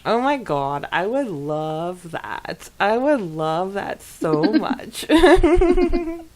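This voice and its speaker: A woman co-hosting a podcast, chatting casually in a giggly, expressive way as she reacts to listener comments. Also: mid-range voice, extremely slow. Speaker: podcast co-host.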